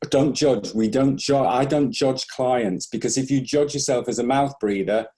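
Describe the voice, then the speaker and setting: A man's voice: mid-range voice, normal speed, mellow. A man, a breathing instructor, explaining carefully in a conversational interview and hedging his claims.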